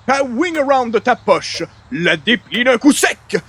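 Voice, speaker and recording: Very high-pitched, excitable huckster. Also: male voice, measured pace, breathy edge, full character voice. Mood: grandiose sales pitch. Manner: pompous, florid salesman's patter. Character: excitable, grandiloquent male huckster peddling an absurd invention. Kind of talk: comic radio play acting in old-time sitcom format.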